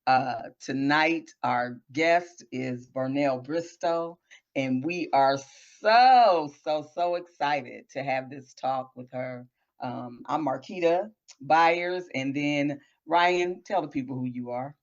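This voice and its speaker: A bubbly woman opens a podcast with excitement, stacking up repeated words as she greets the guest. The sound is a little dull and lo-fi. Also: deep voice, slow. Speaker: podcast co-host. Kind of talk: casual interview talk.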